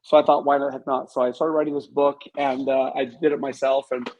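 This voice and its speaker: A man's voice: medium pitch, level tone, brisk, a little mellow, muffled recording. Chatty, candid middle-aged man telling stories. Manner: candid, self-deprecating, chatty. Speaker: interview guest, author and business owner. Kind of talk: casual interview storytelling.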